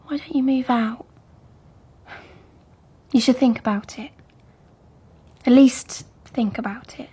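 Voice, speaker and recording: A caring young adult woman, a daughter in a radio drama. Her voice is fairly high, mellow and very breathy. She speaks hesitantly and earnestly. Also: fairly even intonation, takes it slowly, pauses often, exaggerated voice.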